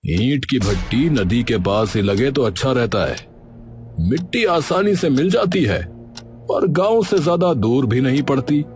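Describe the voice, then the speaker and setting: A man playing a scheming village headman in a cartoon story, smooth at first but bossy and dismissive. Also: medium pitch, big pitch swings, unhurried, a little mellow, faint music behind. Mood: calculating. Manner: falsely friendly, then bossy and dismissive. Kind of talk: Hindi cartoon story acting.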